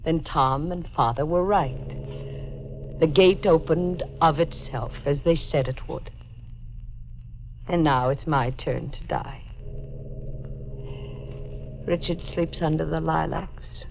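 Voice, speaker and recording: Low, slow, haunted woman. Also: vocal fry, slight background noise, full character voice. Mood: grim, resigned. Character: haunted, fatalistic woman resigned to death. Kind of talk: 1940s radio acting. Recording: muffled old 1940s radio recording. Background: music.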